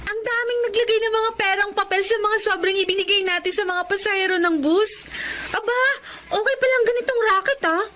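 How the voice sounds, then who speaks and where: Very high-pitched eager little girl. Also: a little fast, fairly bright tone, a little hiss or hum. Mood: gleeful. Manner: childlike, eager. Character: eager, naive little orphan girl, the younger sister. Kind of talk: Filipino radio-style drama acting.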